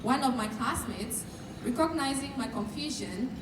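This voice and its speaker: Young Kenyan woman, student speaker, measured and earnest; distant mic in a noisy, echoey hall. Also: a little high, lively intonation. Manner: measured, earnest, sometimes shy. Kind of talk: prepared speech at a dinner. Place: large event hall.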